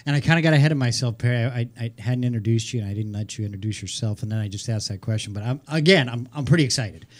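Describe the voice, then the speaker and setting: A man's voice: mid-range voice, very fast. A man hosting a casual radio interview, chatty and rambling, often stammering over repeated words. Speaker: radio host.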